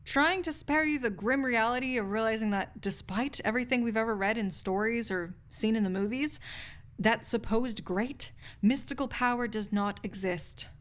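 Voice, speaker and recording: A woman's voice: on the high side, moderate pace, lightly acted. A woman playing a snarky, cynical young research assistant in an audio roleplay, talking in a pedantic, lecturing way with scholarly wording. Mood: amused, condescending. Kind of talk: intimate audio roleplay acting, conversational monologue to listener.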